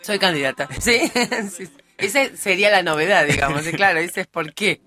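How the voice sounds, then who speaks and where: A woman's voice: fairly low pitch, expressive, normal speed, bright, forceful tone. A woman in her early forties with a Rioplatense Spanish accent, giving a casual, digressive account of herself as a radio interview guest. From born in Uruguay, raised in Argentina. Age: early 40s. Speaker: interview guest, political candidate. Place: radio studio.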